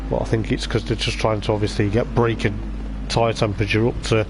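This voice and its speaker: Hoarse guy commentating a race live, lots of uhs, kinda noisy stream audio. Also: lowish voice, flat delivery, fairly quick. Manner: rambling, filler-heavy, hesitant. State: voice going hoarse. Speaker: sim racing league commentator.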